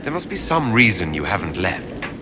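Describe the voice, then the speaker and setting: An actor playing a composed, blunt mage leader in a video game, measured and direct. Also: male voice, low voice, big pitch swings, noisy background.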